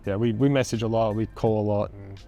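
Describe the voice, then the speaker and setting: A young Australian man with a raspy voice, a band frontman answering interview questions in a laid-back, hesitant way with lots of ums. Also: on the low side, steady tone, a little fast, warm tone, muffled recording, soft background music.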